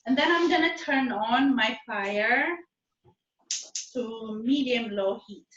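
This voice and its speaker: A woman's voice: on the high side, slow pace, a bit bright, echoey room, highs cut off. A woman from Ethiopia and Eritrea teaching a live online cooking class from her kitchen, friendly and patient, often checking in with her students. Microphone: video call.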